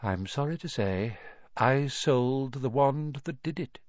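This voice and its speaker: A man voicing an eccentric, intense old wandmaker in an audiobook, soft-voiced and musing, savouring each detail. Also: mid-range voice, wildly swooping pitch, unhurried, no echo, muffled recording. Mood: eerie, musing.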